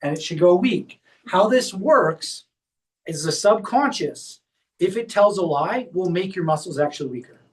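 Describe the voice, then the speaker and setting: A high-pitched man talks a small group through a hands-on demonstration at a clinic workshop, explaining each step as he goes. His voice is picked up from some distance, with the room around it. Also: expressive, normal speed. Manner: explains step by step while guiding a volunteer. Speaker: chiropractor leading a workshop.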